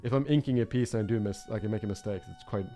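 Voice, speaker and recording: A man's voice: on the low side, racing, dark tone, soft background music. A young man from Norway chatting on a drawing livestream, rambling and reflective with many fillers and restarts. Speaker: art streamer. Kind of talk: casual chat while drawing on a livestream.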